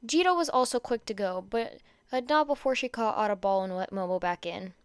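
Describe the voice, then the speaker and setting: Woman reading a fanfic out loud, clear voice, no room echo, trips on words and redoes them. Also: medium pitch, brisk. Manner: stumbles over words and rereads phrases. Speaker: podfic reader. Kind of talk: amateur fan fiction narration read aloud.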